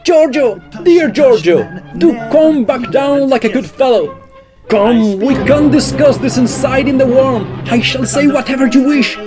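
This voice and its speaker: A man playing a suave, smug opera baritone who teases his rival, in a comic period audio drama. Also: a little slow, over music. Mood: pleading, calling out.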